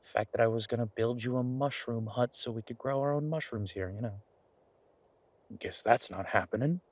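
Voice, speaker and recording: A man playing an earnest, playful boyfriend in a naturalistic one-sided audio roleplay, talking in a halting, wry, conversational way. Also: mid-range voice, dry recording, lightly acted. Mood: teasing, mock-hurt. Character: earnest, playful werewolf boyfriend pitching a farm venture.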